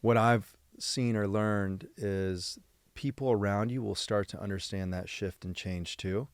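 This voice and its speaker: A man from San Diego hosts a podcast, his voice sitting on the low side. He rambles reflectively and thinks aloud as he goes. The recording is dry, with no room sound. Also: fairly even intonation, unhurried, clear, resonant tone, dry recording. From San Diego, United States. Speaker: podcast host. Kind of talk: casual interview conversation.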